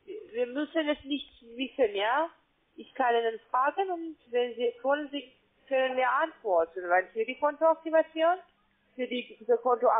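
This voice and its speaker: A woman who is a non-native speaker delivers an insistent scripted sales pitch as a telephone account manager. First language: not German. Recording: tinny noisy phone line.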